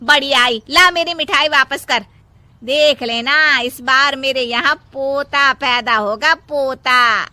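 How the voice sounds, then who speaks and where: A woman's voice: sits high, slow pace, pushed and bright, no echo, full character voice. A woman voicing a melodramatic, scolding older mother-in-law in a cartoon moral story. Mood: indignant. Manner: scolding, dramatic wailing and complaining. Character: nagging, melodramatic older mother-in-law obsessed with having a grandson.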